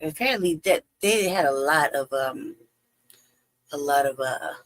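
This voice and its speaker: A man's voice: a little high, expressive, unhurried, a bit bright. A man hosting a live stream, chatting loosely about the clips he is playing and often dropping to a near whisper. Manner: loose and rambling, drops to a near whisper at times. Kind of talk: casual commentary reacting to videos.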